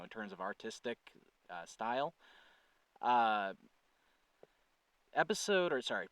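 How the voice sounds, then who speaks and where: Clear-voiced man giving a casual channel update. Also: mid-range voice, fairly bright tone, no echo, recorded from a distance. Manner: earnest, conversational, thinking aloud with self-corrections. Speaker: channel creator and host, writer-producer. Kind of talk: casual update talk to viewers.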